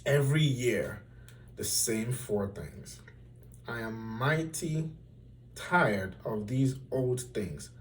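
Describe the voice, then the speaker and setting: A man's voice: medium pitch, lively intonation, takes it slowly, recorded from a distance, a little hiss or hum. A man voicing a hot-tempered, imperious old storybook king in a bellowing, emphatic, commanding manner. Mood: angry, demanding.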